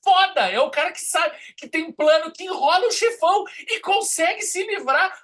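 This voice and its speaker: A man's voice: extremely high pitch, expressive, moderate pace, a bit bright. A man with a southern Brazilian accent in a casual, opinionated film discussion, telling stories in an emphatic, colloquial way as a podcast host.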